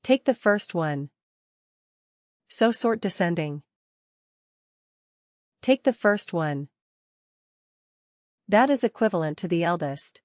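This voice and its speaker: A woman working as a software trainer, teaching interactively step by step, repeating key points and posing questions to learners. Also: on the low side, lively intonation, slow pace, long pauses, dry recording, studio quality.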